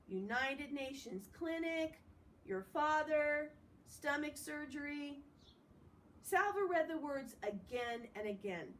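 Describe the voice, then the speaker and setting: A woman with a high voice reads a story aloud in an expressive way. She sounds set back from the microphone, with a faint noise behind her. The delivery is that of an informal read-along. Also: slow. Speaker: storybook reader. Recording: distant mic with faint background noise.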